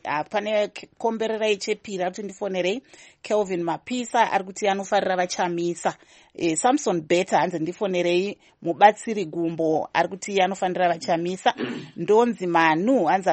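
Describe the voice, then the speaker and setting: Low-voiced Zimbabwean woman radio host. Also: a little mellow, no room sound. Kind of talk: live talk show, reading listener messages, switching between Shona and English.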